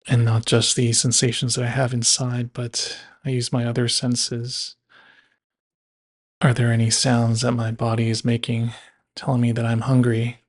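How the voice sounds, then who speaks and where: A man guiding a body scan meditation in a measured way, leaving long pauses between phrases. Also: medium pitch, completely flat delivery. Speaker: meditation guide.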